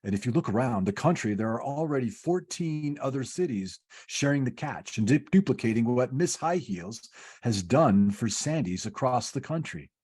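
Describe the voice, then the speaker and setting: A calm senior angel in a radio play , acted by a man, with a husky, medium-pitched voice. He explains patiently and instructively, like an overseer briefing his junior. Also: moderate pace. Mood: proud, explaining. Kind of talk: old-time radio play parody, read from script.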